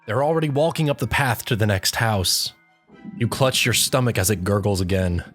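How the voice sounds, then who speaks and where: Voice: mid-range voice, moderate pace. Scripted second-person narration, mid-range voice, audio story reading, faint music behind. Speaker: fanfic narrator.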